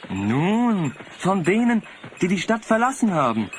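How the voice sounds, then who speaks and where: An actor playing a naive, vain young sled driver in a German audio drama, earnest in delivery. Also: male voice, high-pitched, big pitch swings, unhurried. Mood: earnest, self-assured. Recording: slightly noisy cassette audio drama.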